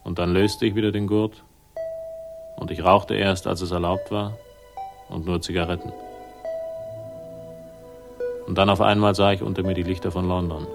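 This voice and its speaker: An actor playing a dry, bitter investigator in a radio crime drama, laconic and sardonic. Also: male voice, flat delivery, fairly quick, gravelly, over music. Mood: weary narration. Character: dry, bitter male investigator, quietly hostile. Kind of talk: 1960s German radio crime drama acting and narration. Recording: roomy, distant, faintly rumbling radio recording.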